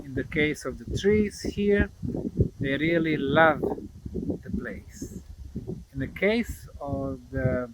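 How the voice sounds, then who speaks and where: Slow, deliberate man presenting outdoors in noise. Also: a little high. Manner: deliberate, emphatic, short phrases with pauses. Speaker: presenter and investigator. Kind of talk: on-location explainer to camera. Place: outdoors in rural countryside. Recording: loud outdoor noise, rustling and vehicles.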